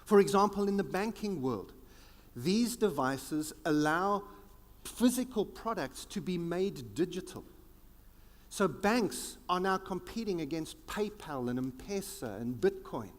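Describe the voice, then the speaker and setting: South African male keynote speaker, emphatic and theatrical, slightly high voice, large reverberant hall. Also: expressive. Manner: dramatic pauses, sweeping emphatic delivery. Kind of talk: conference keynote talk.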